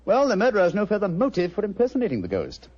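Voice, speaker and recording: An actor playing a brisk, commanding, self-assured English gentleman detective, clipped and theatrical, in 1940s radio mystery style. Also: sits high, huge pitch swings, heavily acted. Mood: authoritative. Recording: muffled, hissy old radio broadcast.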